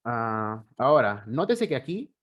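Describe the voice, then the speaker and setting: A Peruvian-accented man leads a live online coding class through a video call. His pitch sits in a middle range for a man, and he explains things patiently while sprinkling in tag questions and hesitations. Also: lo-fi sound. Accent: Peruvian Spanish. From Peru. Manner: unhurried, ends phrases with tag questions, frequent fillers, occasional throat clearing. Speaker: programming instructor.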